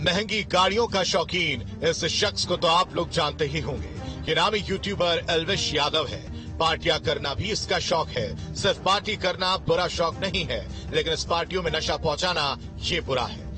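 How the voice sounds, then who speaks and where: A man's voice: on the high side, normal speed. A man narrating a scripted news report in a dramatic, emphatic, sensational voice-over style. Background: loud music bed.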